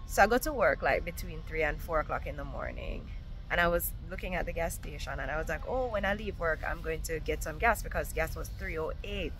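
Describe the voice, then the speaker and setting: A woman's voice: sits low, a little fast, a bit bright, a little hiss or hum, poor audio. A young woman with a Jamaican accent, chatting casually to a phone camera as she runs errands in her car and a warehouse store. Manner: chatty, rambling, explaining as she goes. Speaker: vlogger. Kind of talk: casual vlog narration. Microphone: handheld phone camera at arm's length.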